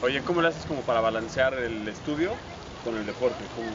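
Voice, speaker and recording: A man's voice: mid-range voice, fairly quick, music in the background. A man in his twenties with a Mexican accent, interviewing athletes on a campus with teasing, playful questions. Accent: Mexican Spanish. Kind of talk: casual joking interviews. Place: outdoors on a university campus. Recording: dull lo-fi sound, loud outdoor noise.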